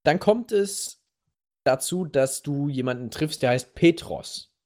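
A man hosting a podcast, telling a history story casually and playfully in a halting, slightly husky voice. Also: a little high, lively intonation, darkish tone. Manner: halting, playful storyteller addressing co-host directly. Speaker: podcast host.

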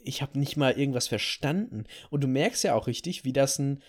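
A man's voice: mid-range voice, brisk. A man co-hosting a casual podcast, speaking in an emphatic way and repeating himself for stress. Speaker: podcast co-host.

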